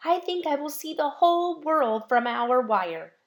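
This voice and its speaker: Woman voicing eager young girl, high-pitched. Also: sing-song, measured pace, reverberant, full character voice. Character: eager, compassionate young girl tightrope walker.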